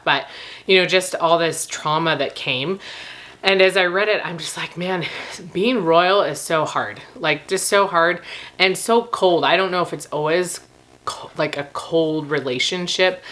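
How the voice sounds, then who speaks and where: A woman with a slightly rough, recovering voice, rambling casually and informally to camera as she reviews books. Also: low-pitched, fairly bright tone. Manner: informal, laughs often while talking. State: slightly rough, gravelly voice after losing it. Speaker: book review vlogger.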